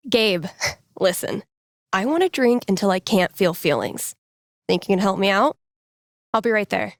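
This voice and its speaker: A woman's voice: mid-range voice, expressive, normal speed, no echo, clean studio sound, natural voice. A woman playing a wry young radio DJ and playful fortune-teller in a video game, speaking in a casual, teasing storyteller's way. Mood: weary. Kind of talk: video game voice acting, conversational second-person storytelling.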